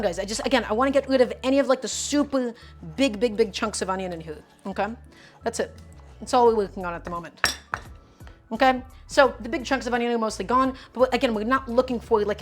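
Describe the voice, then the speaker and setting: A woman on a live cooking stream, talking to chat step by step in an upbeat way and often saying "guys" and "okay". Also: a little high. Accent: her own distinct accent, not Brooklyn.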